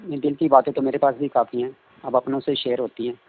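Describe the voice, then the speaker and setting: Male actor for a coaxing, flirtatious stepson in a phone-call drama; casual, mid-pitched, narrow telephone sound. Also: little pitch movement, fast talker, lightly acted. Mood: suggestive. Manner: suggestive, coaxing, hesitant. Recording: tinny narrow-band phone line.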